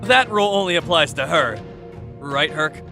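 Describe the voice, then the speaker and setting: Man playing a flirty hero, lively and bright. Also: fairly high pitch, normal speed, faint music behind. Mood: teasing. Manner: breezy, teasing, chatty. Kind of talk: audio drama acting.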